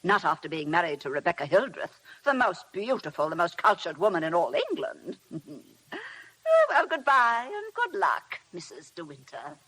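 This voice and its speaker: A woman playing a condescending middle-aged society matron in a 1950s radio drama, mocking and theatrical, with a husky voice. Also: on the low side, very animated, normal speed, a bit bright, a little hiss or hum, poor audio. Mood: mocking spite. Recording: vintage 1950 radio broadcast.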